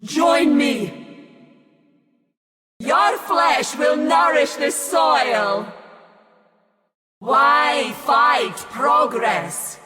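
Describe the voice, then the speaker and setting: A solemn priestess of the grove for a fantasy video game. Her high voice moves with deliberate, formal theatricality and rises and falls widely. The recording is dry and close, with a zealous edge to the delivery. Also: pushed and bright, no echo, exaggerated voice. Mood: grand, commanding.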